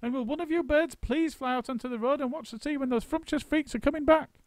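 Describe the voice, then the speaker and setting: A man voicing a frantic, bossy male monkey father who shouts orders. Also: very high-pitched, fast talker, dry recording, voice pushed up. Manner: shouting, excitable, commanding.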